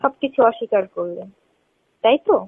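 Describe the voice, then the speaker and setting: Woman, high voice, insistent and questioning, tinny phone call recording. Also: lively intonation, normal speed, breathy edge, no room sound. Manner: insistent, questioning, repeating herself. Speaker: caller. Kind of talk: confrontational phone conversation.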